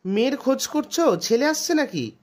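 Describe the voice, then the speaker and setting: A woman voicing a practical, anglicised gentleman friend in storybook dialogue, chatting with English words mixed in. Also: high voice, big pitch swings, moderate pace, voice pushed up. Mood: curious. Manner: conversational, mixes in English words.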